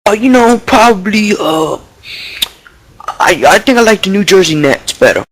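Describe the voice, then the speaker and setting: A man's voice: sits high, lively intonation, moderate pace, poor audio. A man playing a dim-witted young baseball prospect who rambles with slang and lots of fillers. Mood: cocky, rambling. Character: dim-witted young male baseball prospect, deli-obsessed, Bronx swagger. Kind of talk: improvised mock interview.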